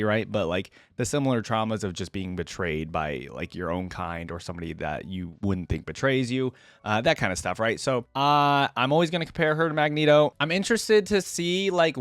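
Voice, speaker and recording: Casual male commentator, lowish voice, conversational and rambling, recorded dry for an online reaction video. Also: no echo. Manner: conversational, rambling, often seeks agreement with "right?".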